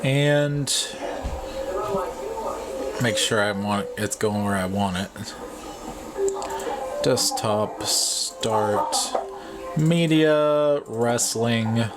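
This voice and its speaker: A man in his thirties giving a casual vlog demonstration, unhurried and offhand as he thinks aloud. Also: medium pitch, loud music behind the voice. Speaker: vlogger. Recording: clear background noise, clicks.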